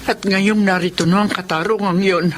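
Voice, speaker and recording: An actor with a Filipino accent playing a bereaved, unforgiving parent in a radio drama. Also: male voice, high voice, steady tone, moderate pace, heavy background noise, lightly acted. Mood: stern, accusing. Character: bereaved, unforgiving parent demanding justice for a slain son.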